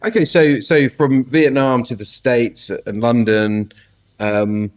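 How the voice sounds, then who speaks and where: Podcast host, male, mid-pitched, friendly and a bit rambling when asking questions. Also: fairly even intonation, takes it slowly. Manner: friendly, filler-heavy questions. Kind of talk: interview hosting.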